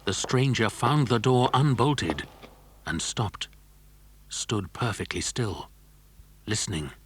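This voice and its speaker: A man's voice: fairly low pitch, lively intonation, slow, a little hiss or hum. A man narrating a scripted children's adventure story.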